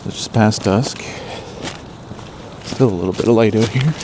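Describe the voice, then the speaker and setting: A man hosting a birding show, explaining what he observes in a measured way as narration and field commentary. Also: lowish voice, noisy background. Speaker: birding podcast host.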